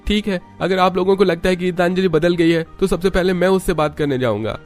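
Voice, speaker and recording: A man's voice: high-pitched, a little fast, faint music behind, voice pushed up, close to an everyday voice. A man voicing an earnest, devoted young husband in a family cartoon, with emphatic, dramatic delivery. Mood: insistent.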